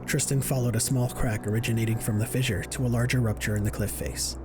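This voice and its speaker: A man's voice: mid-range voice, steady tone, quick pace, heavy background noise. A man reading scripted narration for a science fiction audio drama. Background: music.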